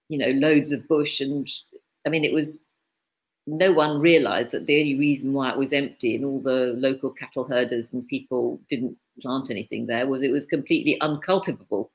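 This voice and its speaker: A woman, talking casually as a guest, chatty with wry asides and frequent self-interruptions. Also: rumbling low. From East Africa. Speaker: guest, author and editor. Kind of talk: casual conversation.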